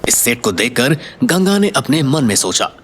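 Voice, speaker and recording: A man's voice: medium pitch, very animated, moderate pace, fairly bright tone. A man narrating a scripted Hindi audio story in a dramatic style.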